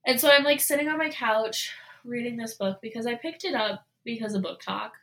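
A woman in her twenties hosting a solo podcast, talking casually with lots of fillers and animated asides. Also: on the high side, fairly quick. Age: 20s. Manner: chatty, frequent "like" and "you know" fillers; animated, voices her own past thoughts. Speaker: podcast host.

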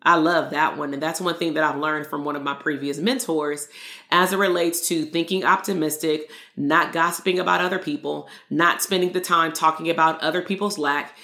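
Woman, low voice, loud, earnest coaching tone, roomy echo. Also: fairly even intonation, normal speed. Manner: earnest, coaching, repeats key lines for emphasis. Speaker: podcast host and therapist coach. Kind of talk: solo motivational podcast talk.

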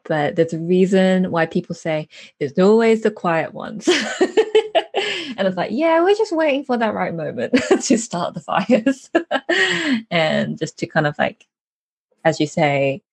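A woman's voice: on the low side, big pitch swings, unhurried, vocal fry, long pauses, slightly rough. A woman hosting a podcast interview in a chatty, informal and giggly way. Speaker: podcast host. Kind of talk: casual conversational interview.

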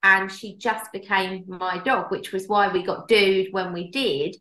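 A woman's voice: mid-range voice, fairly even intonation, unhurried, fairly bright tone. Chatty woman in an echoey room. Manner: chatty, laughs often, trails off and restarts. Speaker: podcast co-host and dog trainer. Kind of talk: casual chat.

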